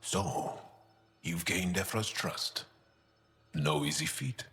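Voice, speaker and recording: An actor playing a gentle, earnest male alien resistance fighter in a video game, speaking in a measured, solemn, heartfelt way with a deep, gravelly voice. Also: animated, in a large hall, full character voice. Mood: wry, thoughtful. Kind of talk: video game cutscene acting.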